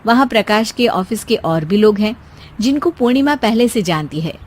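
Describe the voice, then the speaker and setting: A woman's voice: mid-range voice, animated, moderate pace, a little mellow. A woman storyteller reading a scripted domestic story as narrator.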